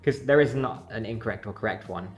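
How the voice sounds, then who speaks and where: A man hosts a relaxed language lesson, laying out each point in a steady, measured way. Light music sits faintly behind his voice. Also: fairly low pitch. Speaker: host of a language channel. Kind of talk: casual language lesson chat.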